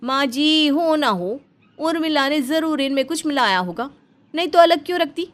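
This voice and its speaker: A woman's voice: sits high, expressive, moderate pace, voice pushed up. A woman voicing a suspicious, conspiratorial young daughter-in-law in a cartoon family story, speaking emphatically. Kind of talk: animated family story dialogue.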